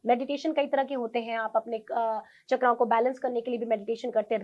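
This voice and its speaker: A woman's voice: sits high, darkish tone. A woman speaking as an interview guest, explaining earnestly and instructively, mixing in English words. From India. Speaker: interview guest, tarot reader and Reiki practitioner; government school teacher. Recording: dull lo-fi sound.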